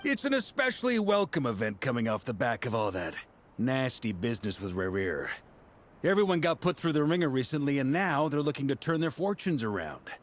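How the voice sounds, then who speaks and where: A man's voice: medium pitch, sing-song, fairly quick, a little hiss or hum, natural voice. A man playing a jovial, laid-back grown leader in a video game, speaking in a breezy, drawling way. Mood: earnest. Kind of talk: animated game dialogue.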